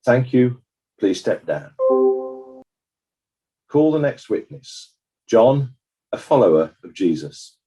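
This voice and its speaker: A man playing a formal, probing examiner at a dramatized inquest, putting precise, measured questions to witnesses. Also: mid-range voice, sing-song, dark tone, faint music behind, low-quality recording. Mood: formal, businesslike. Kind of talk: scripted courtroom drama questioning.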